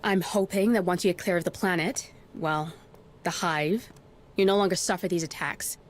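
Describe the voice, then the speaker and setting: A woman's voice: on the low side, normal speed, a little hiss or hum, lightly acted. A woman playing a frank, no-nonsense military medic in a video game cutscene, with a dry manner. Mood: clinical concern. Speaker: ship's medic.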